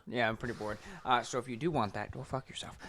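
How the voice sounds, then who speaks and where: A man's voice: mid-range voice, fairly even intonation, fast talker, a bit bright, a little hiss or hum. A man co-hosting a podcast in casual banter, with a crude, joking, sweary manner. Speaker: podcast co-host. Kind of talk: casual banter between friends.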